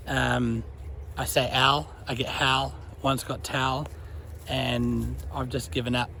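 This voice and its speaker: A man's voice: medium pitch, a little hiss or hum. Chatty Kiwi guy walking outdoors. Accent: New Zealand. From New Zealand. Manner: chatty, relaxed, uses Kiwi slang. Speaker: vlogger. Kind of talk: casual walk-and-talk vlog. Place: outdoors by a creek, walking a dog.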